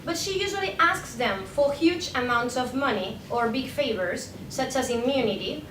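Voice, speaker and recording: Young woman w reading out a conference paper, pretty high voice, mic kinda far off in an echoey room. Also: lively intonation, moderate pace. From Spain. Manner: reading from a prepared text with occasional stumbles. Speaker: academic presenter, PhD candidate. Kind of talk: conference paper read aloud. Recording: echoey room, distant mic, faint rumbling noise.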